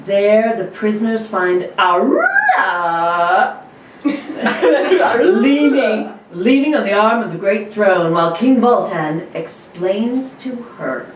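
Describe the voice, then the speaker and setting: Woman reading old radio narration, slow and amused, far roomy mic. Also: medium pitch, big pitch swings, background noise. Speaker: podcast panelist.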